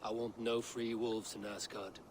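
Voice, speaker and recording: A man voicing a proud, suspicious god-king in a video game, speaking gravely and with command. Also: fairly low pitch, measured pace, natural voice. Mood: stern. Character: brooding proud male god-king, stern. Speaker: game character. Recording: game audio with steady noise.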